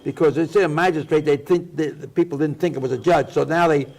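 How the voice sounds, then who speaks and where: Male host, 90s, creaky and slightly high voice, loose chatty delivery with halts and repeats. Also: fairly even intonation, a little mellow. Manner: rambling, halting, repeats himself. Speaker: talk show host. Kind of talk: casual chat.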